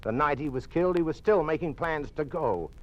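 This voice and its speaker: A man's voice: fairly high pitch, lively intonation, a little fast, slight background noise, close to an everyday voice. A man playing a defiant, suspicious doctor in a police state, blunt and challenging, in an old-time radio drama. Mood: insistent, accusing. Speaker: actor. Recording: dull, lo-fi old radio recording.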